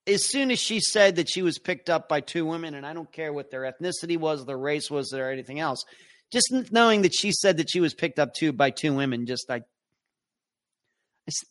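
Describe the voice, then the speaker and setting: A man's voice: on the high side, lively intonation, moderate pace, a bit bright. A man hosting a live podcast, reading out listener comments and answering them in a casual, rambling way. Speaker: podcast host.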